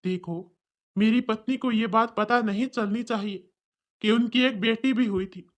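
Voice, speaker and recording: A woman plays a callous father in a cartoon story. His voice sits well below the narration but is still fairly high for it, and he speaks bluntly and dismissively. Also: unhurried, close to an everyday voice. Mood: scheming. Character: callous father who rejects his newborn daughter.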